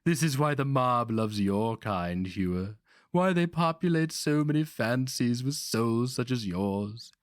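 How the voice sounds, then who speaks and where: A man voicing an aged, frail, haughty grandmaster sorcerer in a dramatic fantasy reading, hissing slowly with sly menace. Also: medium pitch, animated, no room sound, studio quality, pitched above the performer's own voice, close to an everyday voice. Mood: sly musing. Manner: hissing, slow and deliberate.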